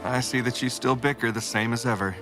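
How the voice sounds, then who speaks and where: An actor in an audio drama playing a stiff, principled lieutenant who speaks in formal, precise, courteous phrases. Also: male voice, mid-range voice, steady tone, music in the background, natural voice. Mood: reserved, polite. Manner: formal, precise, measured wording.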